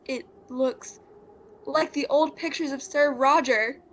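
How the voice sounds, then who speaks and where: A woman acting as an earnest townsperson in a radio play. Her voice is high, and the recording is dull and lo-fi. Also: steady tone, slow pace, a little hiss or hum. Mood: frightened awe. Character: earnest townsperson, cautious, formal at meetings. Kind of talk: old-time radio drama acting. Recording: dull lo-fi audio.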